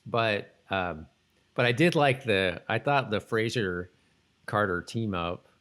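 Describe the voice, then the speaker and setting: A man co-hosting a podcast, casually recapping a TV episode in a rambling, halting way and often repeating himself. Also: fairly low pitch, lively intonation, fairly bright tone. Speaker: podcast co-host.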